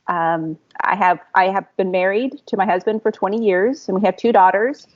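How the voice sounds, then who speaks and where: A woman's voice: mid-range voice, fairly even intonation, moderate pace. A woman in her forties from Texas giving a casual self-introduction as a student on a podcast. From Fort Worth area, Texas, United States. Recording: slightly muffled lo-fi audio.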